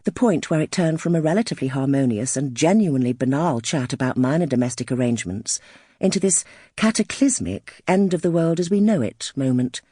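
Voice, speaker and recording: A woman narrating first-person literary fiction as an audiobook, in a wry, expressive storytelling manner. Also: low-pitched, a little fast, slightly rough, dry recording, clean studio sound.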